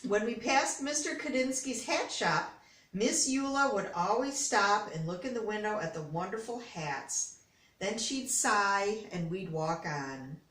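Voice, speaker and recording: A woman's voice: fairly high pitch, a bit bright. Echoey, distant older American woman reading aloud. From Detroit, United States. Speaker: storybook reader. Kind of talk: read-aloud of a children's picture book with casual asides. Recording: roomy, echoey, distant microphone.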